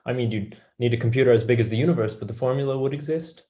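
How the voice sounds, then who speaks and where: A man's voice: medium pitch, very fast, mellow, lightly acted. A young man, a student actor, playing a wry, dry-witted mathematician in a staged play reading. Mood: patient, explaining. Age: young adult.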